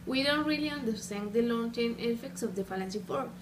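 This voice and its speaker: A matter-of-fact oncologist played by a student woman with a fairly high voice, explaining in a plain clinical way. The amateur drama recording has clear background noise. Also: a little fast, natural voice. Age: teenager or young adult, high school student. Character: matter-of-fact female oncologist giving serious news.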